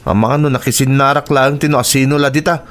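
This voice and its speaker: A man's voice: mid-range voice, little pitch movement, normal speed, fairly bright tone, lightly acted. A man with an Ilocano accent playing a jealous, suspicious, brooding husband in a radio drama, accusing and emphatic.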